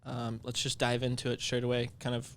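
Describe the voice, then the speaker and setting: A young man with an average-pitched voice co-hosts a podcast. His chat is easygoing and loose, and he drops in casual fillers as he goes. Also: monotone, fairly quick, a bit bright. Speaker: podcast co-host.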